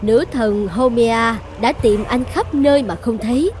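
A woman voicing an imperious volcano goddess in an animated fairy tale, commanding and formal. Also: on the high side, unhurried, soft background music, close to an everyday voice. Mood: stern, surprised. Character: imperious volcano goddess guarding her island.

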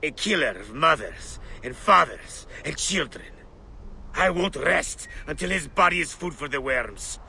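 Fervent rebel leader, very bright, forceful voice. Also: male voice, on the high side, lively intonation, measured pace, vocal fry, a little hiss or hum, heavily acted. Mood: intense, vengeful. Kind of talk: dramatic game cutscene dialogue.

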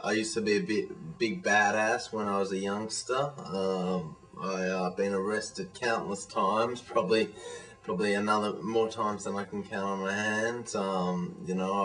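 A man's voice: on the low side, a bit bright. Rambling Australian man on a distant webcam. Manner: rambling, frank, many fillers and restarts. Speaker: vlogger. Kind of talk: casual webcam video reply. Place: living room at home, indoors. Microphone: webcam at desk distance.

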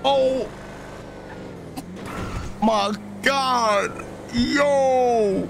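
Male role-play streamer, high voice, repetitive urgent slang, noisy game sirens and traffic underneath. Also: drawn-out words, gravelly. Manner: slangy, excitable, repeats phrases. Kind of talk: in-character live gameplay chatter. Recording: sirens and vehicle noise behind voice. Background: game sirens and car sounds.

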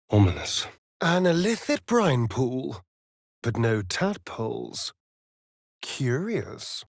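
A man's voice: on the high side, no echo, studio quality, full character voice. A male actor playing a sardonic, flirtatious vampire rogue in a video game, with an arch, mocking, theatrical drawl. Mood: wry, musing. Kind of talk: video game character voice lines.

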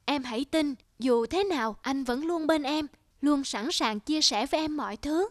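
Woman voicing a high-voiced, devoted young husband. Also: normal speed, dry recording, clean studio sound, lightly acted. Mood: tender, reassuring. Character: devoted, reassuring young husband, gently playful. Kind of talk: cartoon dialogue.

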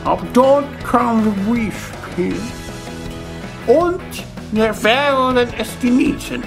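A young man giving a playful, halting video diary into a camcorder, repeating himself. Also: very high voice, gravelly. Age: young adult. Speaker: vlogger. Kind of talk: joking morning video diary. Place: indoor room. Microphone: camcorder at arm's length. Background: music.

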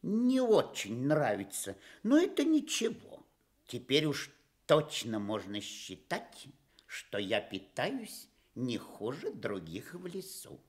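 An actress playing a naive, self-satisfied stork in a children's radio story, theatrical and musing. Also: female voice, a bass voice, wildly swooping pitch, takes it slowly, darkish tone, breathy edge, exaggerated voice.

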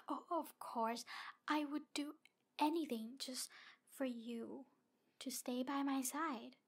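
Woman acting a high-voiced, breathy, obsessive demon girl. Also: fairly even intonation, heavily acted. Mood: pleading, hurt. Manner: breathy, halting, drifting into whispers. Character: jealous, obsessive, pleading yandere demon woman. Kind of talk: ASMR roleplay acting.

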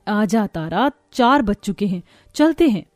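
A woman voicing a sensible, calming elder village sister in a cartoon story, advising steadily. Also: mid-range voice, unhurried, close to an everyday voice. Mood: brisk.

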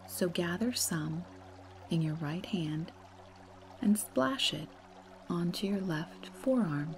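Slow, low-voiced woman guiding meditation. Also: a little hiss or hum. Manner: soft, breathy, unhurried, soothing delivery. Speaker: guided meditation host. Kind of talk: slow guided meditation narration. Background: meditation music.

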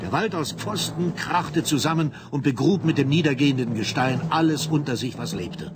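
A man's voice: on the high side, lively intonation, normal speed. Male dramatic narrator over background noise. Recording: clear background machinery noise.

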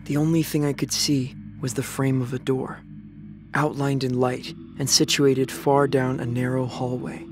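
An actor playing a young male apocalypse survivor, narrating his ordeal in a measured, grave way. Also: mid-range voice, flat delivery, music in the background, lightly acted. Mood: grave, reflective. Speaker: lead actor and narrator in a drama podcast. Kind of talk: scripted first-person dramatic narration.

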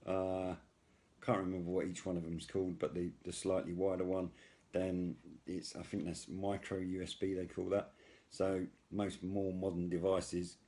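A middle-aged British man giving a casual product demonstration in a halting, hesitant way, as a video host. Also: low voice, level tone, dark tone.

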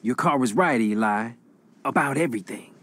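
A man's voice: mid-range voice, lively intonation, normal speed, a bit bright. An actor playing a cocky, reckless young man with crime boss ambitions in a video game cutscene. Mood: confident, rallying.

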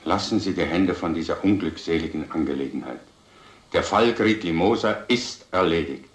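Guy as stern police chief, very low voice, formal and dismissive, echoey old film. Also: moderate pace, natural voice. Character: stern, authoritative male police commander. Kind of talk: vintage German crime film acting. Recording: roomy old film soundtrack.

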